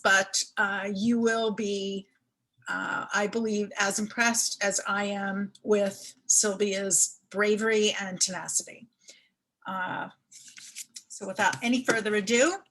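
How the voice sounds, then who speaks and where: A woman hosts an online lecture series and introduces the guest in a formal, slightly halting way. Her voice is bright and a little high. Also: rough recording. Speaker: host introducing a speaker.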